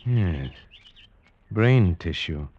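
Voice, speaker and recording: A man playing a grave Slavic huntsman who narrates an eerie tale in old-time radio drama style, measured and formal. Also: low-pitched, animated, a little mellow, no echo, full character voice. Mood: uneasy. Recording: dull vintage radio recording with music behind.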